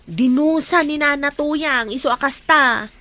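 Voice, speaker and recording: A woman's voice: high-pitched, unhurried, pushed and bright. A woman playing a matter-of-fact, teasing neighbour in an Ilocano Filipino comedy drama. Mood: amused, matter-of-fact.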